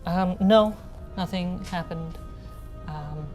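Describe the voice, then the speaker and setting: A woman's voice: lowish voice, darkish tone, soft background music. A woman voicing a frightened, guarded little girl of six or seven, speaking hesitantly in a tabletop game. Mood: nervous, evasive. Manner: hesitant, halting.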